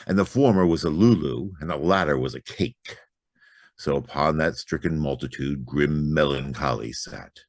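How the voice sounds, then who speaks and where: A very low-voiced man recites narrative verse in a close, dry recording. His delivery is theatrical and emphatic, with wide swings in pitch and volume. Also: darkish tone, no room sound. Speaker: poetry reader.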